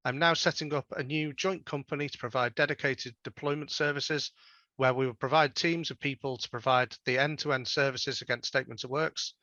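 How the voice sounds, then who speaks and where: A man's voice: medium pitch, fairly even intonation, brisk, sharp tone, no room sound, muffled recording. A man hosting a webinar, politely reading out audience questions and thanking the speaker. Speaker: webinar host.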